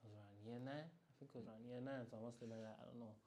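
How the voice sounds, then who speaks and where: A man's voice: mid-range voice, steady tone, very fast, crowd noise. A young man with a south London accent answering interview questions in a laid-back way, full of street slang. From south-east London. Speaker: guest.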